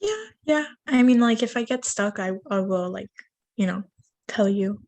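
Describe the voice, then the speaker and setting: A woman in her twenties from Miami, whose first language is Spanish, playing a client in a practice counseling session and speaking haltingly with many fillers. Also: medium pitch, animated, a little mellow. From Miami, USA. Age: 20s. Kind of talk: mock counseling intake conversation.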